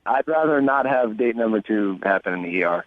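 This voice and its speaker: A man's voice: on the low side, expressive, fairly quick. A man talking casually as a phone-in guest on a radio show, over a phone line. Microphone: telephone. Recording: dull phone line with noise.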